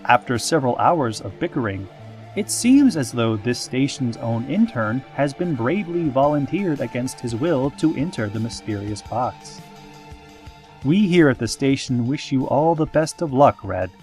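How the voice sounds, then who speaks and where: Deadpan man hosting eerie radio, expressive pitch. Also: faint music behind. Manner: measured, theatrical, deadpan delivery of absurd announcements. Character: calm, eerie small-town pony radio announcer. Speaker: radio host in a parody podcast. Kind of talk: scripted community radio news parody.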